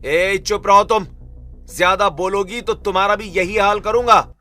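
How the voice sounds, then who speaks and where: A man's voice: high voice, steady tone, normal speed, sharp tone, voice pushed up. A man voicing a hot-tempered, contemptuous young husband in a family drama story, scolding impatiently. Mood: furious.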